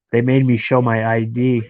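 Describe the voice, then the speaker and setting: A man's voice: mid-range voice, no echo. Stuffed-up man chatting on a phone stream. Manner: rambling, chatty, talks to viewers by name. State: stuffed-up nose. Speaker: live streamer chatting with viewers. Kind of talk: casual live stream chat. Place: in bed at home. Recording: dry, phone-like lo-fi sound.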